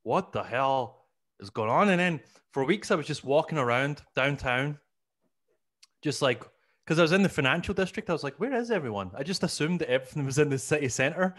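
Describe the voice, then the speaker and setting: A man's voice: on the high side, animated, fairly quick, fairly bright tone. A man in his thirties with a Glaswegian Scottish accent tells a rambling, self-mocking story. He slips into his past self's voice for the punchlines. He speaks close into a studio mic in a small room. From Scotland. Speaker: guest comedian. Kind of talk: casual storytelling interview. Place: small room at home, video call. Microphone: studio mic on boom arm.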